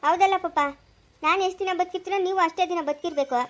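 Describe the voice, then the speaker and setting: A woman's voice: very high-pitched, rapid-fire. A woman voicing a caring young daughter in a serial drama, soothing and coaxing with many questions. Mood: concerned, coaxing. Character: caring, brisk young daughter consoling her father.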